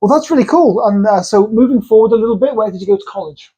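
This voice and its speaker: Man, middle-aged, podcast host, playful, rapid, husky. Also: high voice, animated, mellow. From Wales. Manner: playful, teasing. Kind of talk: casual interview chat.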